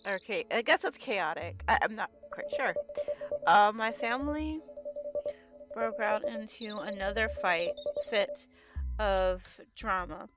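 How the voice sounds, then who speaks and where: Slow-paced woman haltingly reading aloud. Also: medium pitch, animated, music in the background. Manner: stumbles over words and sounds them out. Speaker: streamer playing a visual novel. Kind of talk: halting read-aloud of game text with casual chat.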